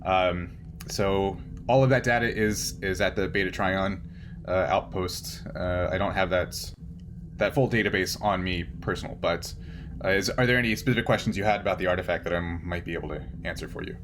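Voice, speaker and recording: A man's voice: deep voice, brisk, background noise. A man narrating as game master of a tabletop roleplaying game, improvising and often searching for words.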